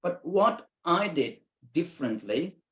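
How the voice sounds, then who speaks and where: A man from Iran whose first language is Lari, speaking English with an Iranian accent as a linguistics researcher presenting at an online academic forum. He explains in steady phrases with pauses between them. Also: on the high side, roomy sound. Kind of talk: academic conference talk. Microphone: video call.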